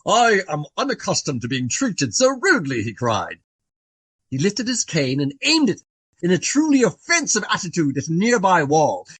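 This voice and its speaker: Male, pompous Regency raconteur for radio drama. Hugely animated delivery, slightly husky, close dry sound. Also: high voice, moderate pace, dry recording, heavily acted. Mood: animated storytelling. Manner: long-winded, florid, genteel formal phrasing. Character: portly, garrulous, self-important Regency gentleman. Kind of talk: radio play dialogue, storytelling.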